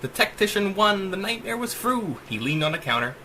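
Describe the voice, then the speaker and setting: Casting: male author-reader, theatrical and emphatic rhyming narration, sharp bright voice, very lively intonation. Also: high-pitched, brisk, a little hiss or hum. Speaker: author reading own short story. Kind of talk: dramatic rhyming audiobook narration.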